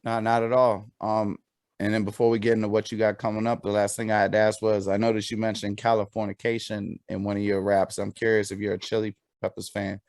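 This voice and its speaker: Brief: male podcast host, lowish voice, casual slangy delivery, dry sound. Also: little pitch movement, a little fast, a little mellow, dry recording. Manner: laid-back slang. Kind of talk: casual video-call interview. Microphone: video call.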